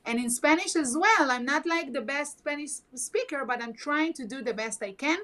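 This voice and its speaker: A woman's voice: high voice, animated, sharp tone. Earnest woman, Hebrew native speaker. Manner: earnest, halting non-native phrasing. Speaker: guest. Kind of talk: casual video chat.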